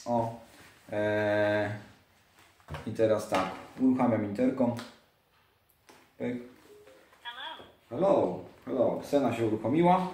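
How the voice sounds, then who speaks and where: A man's voice: medium pitch, a little mellow. A man giving a casual, hands-on how-to as a moto vlogger, informal and going step by step with small interjections. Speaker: moto vlogger presenting a gear setup guide. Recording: echoey, roomy indoor sound.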